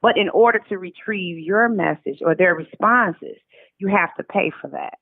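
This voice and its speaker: A woman's voice: on the low side, moderate pace, no room sound. A middle-aged woman with an American accent telling her story casually and candidly, with emphatic, colloquial phrasing, over a phone call. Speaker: interview guest, author. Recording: narrow, tinny phone line.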